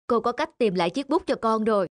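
A woman playing a kind, patient schoolteacher in an animated moral tale, gently instructive. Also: fairly high pitch, fast, no room sound, studio quality, natural voice. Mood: reassuring. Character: kind, patient female schoolteacher guiding her pupils. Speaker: schoolteacher character. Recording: music behind the voice.

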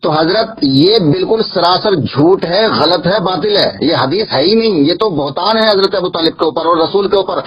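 A male Islamic cleric debating religion over a recorded phone call, insistent and argumentative, pressing his points by repeating them, with a husky voice. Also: high-pitched, lively intonation, moderate pace, few pauses.

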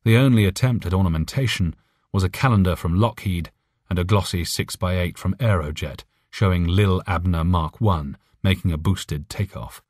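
Deep, husky male audiobook narrator. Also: moderate pace, a little mellow, no room sound, studio quality. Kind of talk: scripted narration of a short story.

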